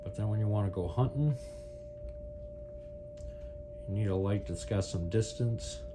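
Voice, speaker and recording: A man's voice: fairly low pitch, a little fast, music in the background, low-quality recording. A man giving a casual, unscripted product demonstration, rambling and thinking aloud as he goes. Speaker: product review video host. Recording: clear steady hum and background noise.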